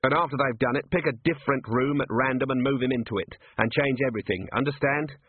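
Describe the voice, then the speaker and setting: A man's voice: medium pitch, fast talker, husky, no echo, natural voice. A man voicing a wary middle-aged watch commander who gives clipped, suspicious orders. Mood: curt. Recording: phone-like narrow band, dry.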